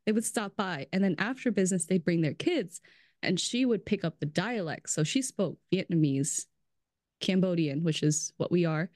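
A woman's voice: on the low side, normal speed, a little mellow, no room sound. Woman, casual podcast chat, lots of filler "like", laughs easily, dry close recording. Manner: chatty, fills speech with "like", laughs often. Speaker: brand strategist guest.

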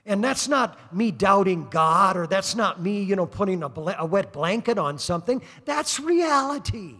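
An older man in his sixties preaching a sermon, sprinkling "praise God" through his lines as he pushes toward fervent exhortation. His voice sits high for a man. It rings with the long reverb of a church hall. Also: animated, moderate pace, sharp tone. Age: 60s. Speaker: pastor. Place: church service.

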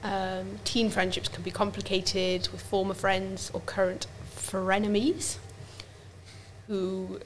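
Woman co-host, medium pitch, chatty and meandering, faint background hiss. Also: level tone, slow, poor audio. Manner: chatty, explains at length with many "like"s. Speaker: podcast co-host. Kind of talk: casual chat.

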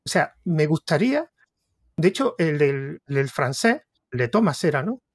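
Un hombre de Córdoba con acento andaluz, anfitrión de un podcast, que entrevista en tono de charla y divaga con muchas dudas y reinicios. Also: male voice, fairly high pitch, very animated, normal speed, husky, no echo, studio quality. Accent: Andalusian Spanish. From Córdoba, Spain. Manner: rambling, hesitant, restarts thoughts. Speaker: podcast host interviewer. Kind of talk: casual interview conversation.

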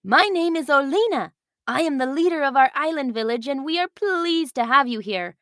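A woman's voice: sits high, animated, moderate pace, fairly bright tone, dry recording, studio quality, voice pushed up. A woman voicing an earnest, emotional island village leader, a grown woman, in a children's storybook reading. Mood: welcoming.

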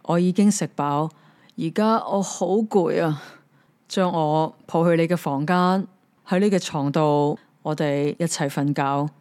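Woman putting on a pushy little frog guy, hong kong cantonese, smooth voice, keeps begging. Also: sits low, measured pace, a little mellow. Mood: demanding. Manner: coaxing, repetitive calling. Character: pleading, insistent male frog.

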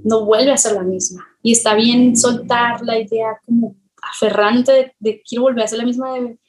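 A woman, speaking reflectively in an interview, thoughtful and halting as she searches for words. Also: a little high, fairly even intonation, darkish tone, rough recording. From Colombia. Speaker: illustrator, podcast guest.

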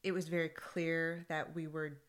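A woman with a slightly low voice, co-hosting a podcast in casual conversation. She tells her stories in an animated way, breaking into laughter often. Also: unhurried. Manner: animated storytelling with frequent laughs. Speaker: podcast co-host. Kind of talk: casual conversation about workplace groups.

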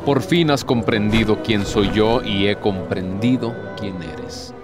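An actor playing an ancient, courtly Devil in a radio drama, a sly and despairing tempter who speaks formally and insinuatingly. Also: male voice, on the low side, slow pace. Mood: sly, seductive. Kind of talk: theatrical radio drama acting. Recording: music behind the voice.

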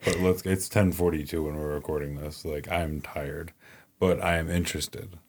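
A man's voice: very deep voice, steady tone, normal speed, darkish tone. A man co-hosting a casual podcast, sleepy and dry, tossing in jokes. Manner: tired, dry, joking. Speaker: podcast co-host.

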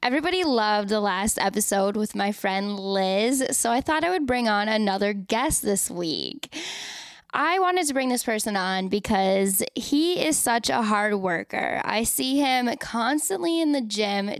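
A woman's voice: medium pitch, animated, unhurried, no room sound. A young woman hosting a casual podcast, chatty and frank, swearing freely and laughing between her lines, close on the mic. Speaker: podcast host.

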